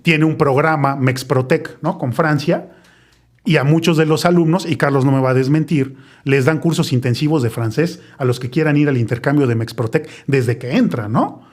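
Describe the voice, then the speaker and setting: A man's voice: fairly high pitch, moderate pace, darkish tone. A man in his forties with a Mexico City accent, hosting a casual chat and wandering off on tangents, often tagging his points with a question. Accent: Mexico City Spanish. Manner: chatty, digressive, tags phrases with "¿no?". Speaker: podcast host.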